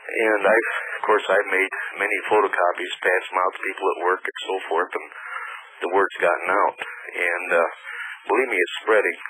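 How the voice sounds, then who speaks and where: A middle-aged man phoning in to a radio show, talking in a halting, earnest way. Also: deep voice, flat delivery. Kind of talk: unscripted phone-in conversation. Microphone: telephone. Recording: noisy phone call over shortwave radio.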